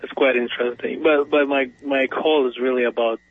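A man from Ethiopia on a call-in show. He speaks at a middle pitch in an earnest, halting way, stumbling and repeating himself as he builds his point. He comes through a narrow, tinny phone line. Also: fairly even intonation, slight background noise. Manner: earnest, hesitant, repeats words. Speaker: caller.

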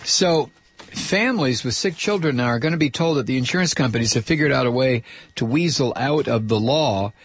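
Male talk radio host, gravelly and hoarse, dry studio sound, angry sarcastic ranter. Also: mid-range voice, fairly quick, dry recording. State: losing his voice. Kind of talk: political talk radio monologue.

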